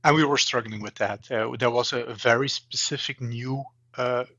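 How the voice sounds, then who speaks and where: A man's voice: mid-range voice, normal speed, pushed and bright, dry recording. Interview guest, man, forties, Belgian. Hesitant, many restarts, dull dry audio. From eastern Belgium. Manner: hesitant, repeats words, many fillers. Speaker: guest, banking AI expert. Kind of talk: interview answers.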